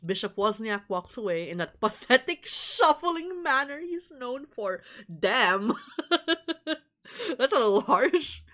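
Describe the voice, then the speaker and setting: A woman's voice: fairly high pitch, big pitch swings, takes it slowly, pushed and bright. A woman livestreaming a visual novel, reading game text aloud and reacting with giggly, irreverent, slangy asides. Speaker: streamer playing a visual novel.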